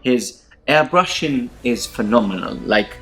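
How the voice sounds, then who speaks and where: A man's voice: medium pitch, lively intonation, a little slow, a bit bright, poor audio. A man whose first language is Spanish, hosting a tabletop wargame show with casual, unscripted rundowns. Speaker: host of a tabletop wargame battle report show.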